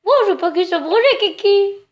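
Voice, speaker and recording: A woman's voice: very high voice, brisk. A woman playing a naive, plaintive pet owner in a prank phone call, childlike and insistent as she rambles off the point. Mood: mournful. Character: naive, plaintive woman grieving her little pet dog.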